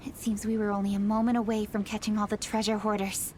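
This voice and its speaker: A woman's voice: mid-range voice, flat delivery, fast talker, darkish tone, a little hiss or hum, close to an everyday voice. A woman voicing a poised, dutiful young woman official in a video game, speaking formally and with self-assurance. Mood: formal, composed. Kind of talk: English video game voice acting. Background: background music.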